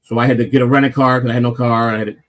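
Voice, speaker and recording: A man with a naturally loud voice, hosting a casual morning live stream and rambling through a personal story with lots of swearing and restarts. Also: medium pitch, flat delivery, rapid-fire. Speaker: morning show host telling a personal story.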